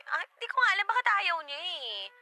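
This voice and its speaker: An actress plays a playful, love-struck young woman in a radio drama. Her voice is high and very bright, swooping freely with giggly, teasing frustration. Light music plays underneath. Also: sing-song, moderate pace, exaggerated voice, low-quality recording. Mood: exasperated, whiny. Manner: giggly, teasing, exaggerated delivery. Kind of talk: Tagalog radio drama acting with narration.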